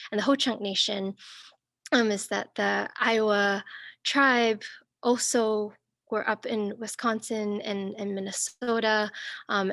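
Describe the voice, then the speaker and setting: A woman from Singapore and northern Thailand giving an academic talk over a video call, thoughtful and searching, with many pauses and restarts. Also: mid-range voice, a bit bright, no room sound. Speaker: guest speaker.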